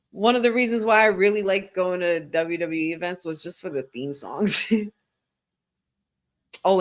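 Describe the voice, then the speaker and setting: A woman co-hosting a live wrestling watch-along, chatting casually and laughing often. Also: sits low. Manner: animated, chatty, quick to laugh.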